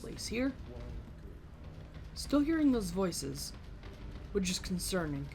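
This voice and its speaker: A woman's voice: fairly low pitch, big pitch swings, takes it slowly, creaky, background noise. A woman giving casual, wry gameplay commentary, sometimes speaking in character as she plays. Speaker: let's play host, gamer. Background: game music.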